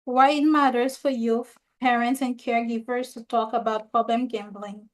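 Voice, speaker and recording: A woman's voice: a little high, steady tone, poor audio. A young woman hosting a youth podcast, reading scripted lines with frequent stumbles and restarts. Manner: halting reading with stumbles over words. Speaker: youth leader host.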